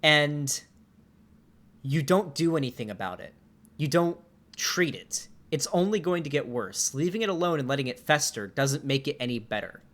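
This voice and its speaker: A young man in his twenties talks straight into a desk mic during a live stream, his voice sitting a little high for a man. He comments casually and breaks into exasperated, swearing outbursts. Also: normal speed. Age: 20s. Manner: swearing, animated and exasperated, with laughs.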